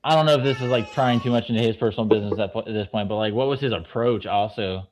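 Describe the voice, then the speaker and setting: A man talks through his view in a loose group discussion, careful and hedging. There is a light noise floor under his voice. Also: fairly low pitch. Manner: measured, reasoning, hedging. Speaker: podcast co-host. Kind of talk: casual debate chat.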